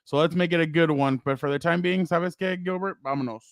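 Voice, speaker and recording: A fairly high-voiced man hosts a casual sports show, loud and rambling with frequent laughs. His voice is close and dry, with no room sound around it. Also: fairly quick, no room sound. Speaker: co-host.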